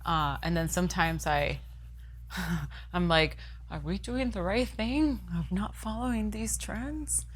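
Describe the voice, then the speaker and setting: A woman's voice: fairly low pitch, takes it slowly, slightly rough. A woman from Puerto Rico chatting easily with her husband, teasing him lightly. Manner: easygoing, teasing. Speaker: guest. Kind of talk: casual chat.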